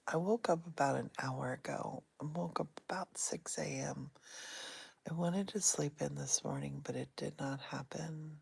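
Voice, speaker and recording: A middle-aged woman talking softly and unhurriedly from her bed, recorded on a phone she holds in one hand. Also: sits low, creaky, slightly rough. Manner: soft and whispery, unhurried. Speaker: vlogger. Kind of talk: casual guided meditation vlog. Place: in bed at home. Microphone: phone held in one hand.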